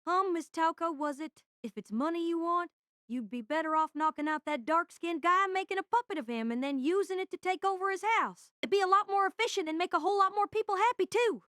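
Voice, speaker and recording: Woman voicing a very shrill, cheeky puppet boy. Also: a little fast, a bit bright, no room sound, studio quality. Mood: cheeky, scheming.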